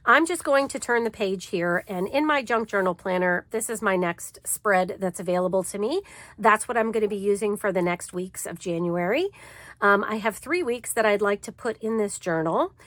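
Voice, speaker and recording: A woman's voice: medium pitch, animated, normal speed, a bit bright, dry recording. A woman with a stuffy cold voice hosting a crafting channel, chatting in an easygoing way as she shows a planner layout. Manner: chatty and easygoing, with frequent fillers. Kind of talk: casual crafting tutorial chat.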